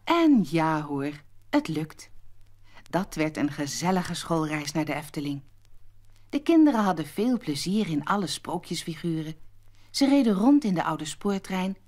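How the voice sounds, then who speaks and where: A woman narrating a scripted children's story as an expressive storyteller. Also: sits low, measured pace.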